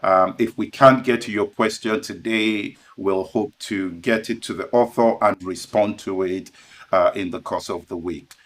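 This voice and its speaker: Unhurried, low-voiced male show host. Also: steady tone. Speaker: co-host of an author interview show. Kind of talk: live show hosting. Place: indoors, video call. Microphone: video-call microphone.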